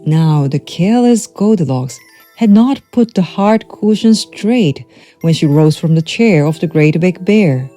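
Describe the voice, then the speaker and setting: A woman storyteller reading a children's storybook aloud in an expressive, measured way, from a script. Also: fairly low pitch, faint music behind.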